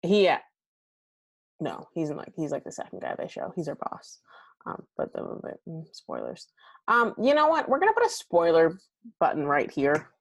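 A woman with a low, gravelly voice hosts a solo podcast, talking in a loose, chatty way and swearing freely. Her voice is recorded close and dry, with no room sound around it. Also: huge pitch swings, normal speed, no room sound. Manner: chatty and candid, swears freely, many fillers. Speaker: podcast host. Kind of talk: casual solo podcast chat about a movie. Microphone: home recording at a computer.

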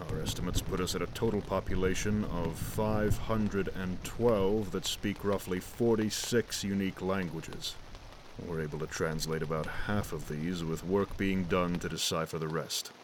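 A commanding naval officer played by a man with a very deep voice. He is formal, businesslike and authoritative, in an audio drama with clear noise behind him. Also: lightly acted. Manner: authoritative, formal, clipped orders. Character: commanding, businesslike male navy admiral.

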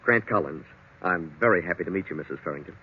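A man's voice: low-pitched, quick pace, breathy edge. A man playing a polite, plain-spoken young husband in old radio drama style, easygoing and conciliatory. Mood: earnest, conciliatory. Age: young adult. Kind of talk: 1940s radio drama acting. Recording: muffled, noisy old radio recording.

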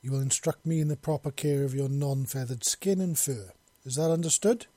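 An imperious bird-woman mistress from an audiobook tale, performed by a man. She speaks formally and precisely, curious yet used to giving orders. The voice is a little rough and mellow, recorded very dry. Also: mid-range voice, normal speed, no echo, natural voice. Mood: commanding. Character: imperious, curious female bird-creature mistress, commanding and innocent.

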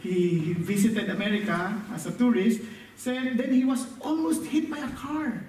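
A man from the northern Philippines with a Filipino accent, whose first language is Filipino, preaching a sermon with halting, self-correcting speech and frequent laughs. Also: high voice, a little mellow, recorded from a distance. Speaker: guest preacher. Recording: echoey hall, heard from afar.

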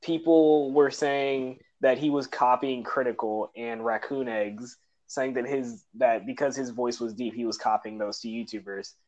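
A man's voice: mid-range voice, steady tone, measured pace, few pauses, a little mellow. A man co-hosting a casual podcast chat, laughing often and stumbling over repeated words as he starts sentences. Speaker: podcast co-host. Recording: dull lo-fi audio.

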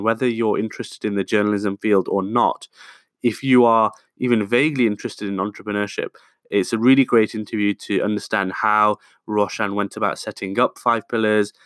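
Low-voiced man giving a podcast intro. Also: fairly bright tone, no echo, studio quality. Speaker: podcast host.

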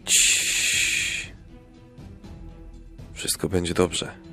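A man playing a brave, kindly king and devoted father in a children's audio drama, earnest and measured. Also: pushed and bright, faint music behind, full character voice. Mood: gentle. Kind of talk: children's radio play acting.

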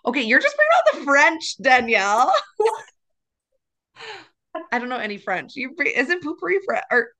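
A woman's voice: high-pitched, big pitch swings, normal speed, pauses often, bright, forceful tone. A woman co-hosting a podcast in casual chat, rambling and giggly, sometimes breaking into song. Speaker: podcast co-host.

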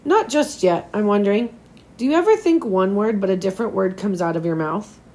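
Woman as male schoolteacher, kind, encouraging, gently coaxing, slightly hoarse, storybook read-aloud. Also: mid-range voice, expressive, fairly quick, lightly acted. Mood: curious.

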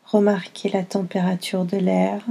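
A woman guiding a yoga nidra meditation in a gentle, unhurried manner, giving soothing instructions in short phrases with long pauses. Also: medium pitch, little pitch movement, vocal fry, breathy edge.

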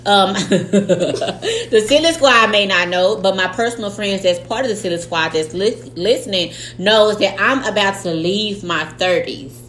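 A woman's voice: medium pitch, unhurried, roomy sound, a little hiss or hum. A woman in her late thirties co-hosting a podcast, chatting casually with lots of slang and swearing. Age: 30s. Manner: animated, slangy, swears freely. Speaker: podcast co-host. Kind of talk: casual chat.

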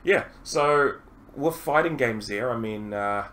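Kiwi guy hosting a gaming podcast, lots of ums, mid pitch, slight hiss behind. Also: slow pace. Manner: hesitant, many ums and restarts, animated when asking questions. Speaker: podcast host. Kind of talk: casual interview chat.

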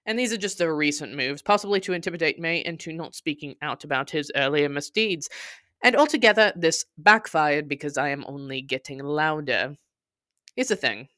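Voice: mid-range voice, sharp tone, no echo, clean studio sound. A podcast host delivering dramatic scripted storytelling in a theatrical, sardonic, verbose manner with scornful emphasis.

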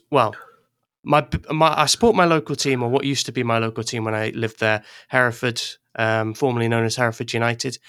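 A man's voice: mid-range voice, a bit bright, clean tone, no room sound, studio quality. An English-born man chatting casually as a podcast guest, rambling a little, restarting sentences and laughing often. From England. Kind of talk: casual chat.

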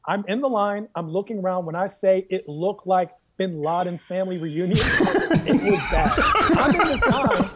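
An American man telling comic stories in casual conversation, animated and emphatic, repeating phrases for effect. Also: high-pitched, normal speed, heavy background noise. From United States. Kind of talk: comedic storytelling in casual chat.

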